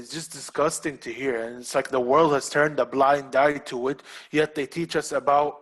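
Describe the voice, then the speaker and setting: A man's voice: on the high side, fairly bright tone. A man hosting a podcast, speaking in an impassioned, emphatic way and repeating phrases for stress. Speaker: podcast host. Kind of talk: casual podcast talk.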